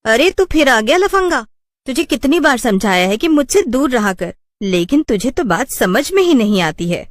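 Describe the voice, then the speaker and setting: A woman voicing a proud, sharp-tongued young village cook who scolds indignantly, in a Hindi cartoon. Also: a little high, very animated, normal speed, voice pushed up. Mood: angry.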